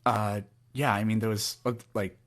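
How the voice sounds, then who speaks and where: A man's voice: fairly low pitch, level tone, normal speed. A man in his thirties hosts a call-in podcast. He sounds exasperated and sarcastic, and he rattles off examples to rebut his caller. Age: 30s. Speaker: podcast host.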